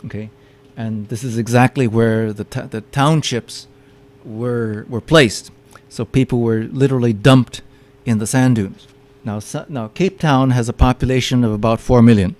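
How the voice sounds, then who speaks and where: A man's voice: mid-range voice, steady tone, normal speed, hardly any pauses, darkish tone. A man giving a public lecture with slides, often stopping to repeat his words as he explains. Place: meeting room with audience.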